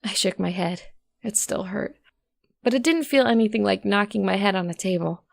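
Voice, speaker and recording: Woman acting as wry young mare, slightly creaky. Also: mid-range voice, normal speed, dry recording, studio quality. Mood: urgent, determined. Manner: conversational, wry, informal. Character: young mare narrator, shaken, stubborn and sarcastic. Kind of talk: first-person dramatic story narration.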